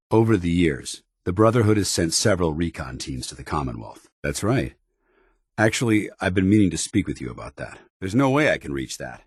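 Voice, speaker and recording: Very deep, stern military paladin. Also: male voice, slightly rough, no room sound, clean studio sound. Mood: dutiful, helpful. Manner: formal, measured military diction. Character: stern, dutiful, earnest armored military paladin, male soldier. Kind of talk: video game voice acting, companion dialogue.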